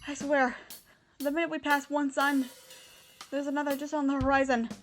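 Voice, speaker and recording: A woman's voice: high voice, level tone, moderate pace, soft background music, lightly acted. A woman voicing a caring, curious female animal companion in an audio drama. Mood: wary. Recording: loud background noise.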